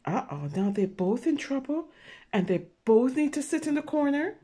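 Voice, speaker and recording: A woman reading a picture book aloud with simple, expressive commentary for young children, exclaiming and checking in with her listeners. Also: sits high, moderate pace, slightly rough. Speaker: children's story reader.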